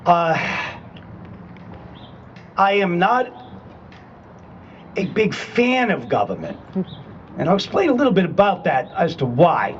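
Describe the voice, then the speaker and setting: A man's voice: high voice, big pitch swings, slow, pauses often, highs cut off, slight background noise. Older New England man at a rally. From Rhode Island and Connecticut, US. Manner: conversational and emphatic, checks in with "okay?". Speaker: rally speaker, retired Army. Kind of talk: public rally speech. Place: public rally at a state capitol.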